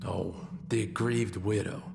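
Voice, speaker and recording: A sardonic outlaw , acted by a man with a low, gravelly voice and a wry, patronising air. He sounds like a western audio drama character, with noticeable ambience noise around him. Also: steady tone, unhurried. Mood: smug, amused. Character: smug, sardonic outlaw sidekick. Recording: clear background ambience noise.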